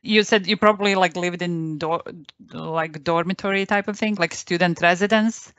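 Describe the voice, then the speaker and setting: A woman interviewer asks curious questions in loose, filler-filled phrasing. Her voice sits on the lower side. The sound is dry and close, with slightly dull audio. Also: steady tone, normal speed, fairly bright tone, dry recording.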